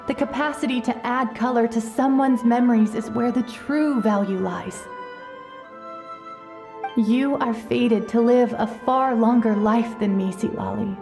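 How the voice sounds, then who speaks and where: A woman voicing a gentle, wise shaman in a video game, speaking in a calm, measured, explanatory way. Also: fairly high pitch. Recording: prominent music behind the voice.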